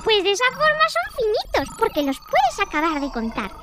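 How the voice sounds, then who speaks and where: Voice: very high-pitched, huge pitch swings, moderate pace, over music, full character voice. A performer voicing a small, eager, childlike underwater creature who explains things with exclamations on a children's podcast. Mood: cheerful, eager. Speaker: children's show co-host. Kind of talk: scripted children's podcast chat.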